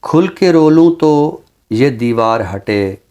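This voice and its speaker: A man with a medium-pitched voice speaks on a literary programme. His delivery is deliberate and reflective. He phrases things for emphasis, as someone used to reciting verse would. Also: animated, warm tone. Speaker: literary talk show commentator. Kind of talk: reflective commentary and Urdu poetry recitation.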